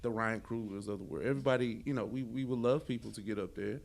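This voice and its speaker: A man's voice: medium pitch, fast talker, gravelly. A man co-hosting a podcast in casual chat, slangy and given to exaggeration as he tells stories. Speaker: podcast co-host. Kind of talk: casual chat, informal storytelling.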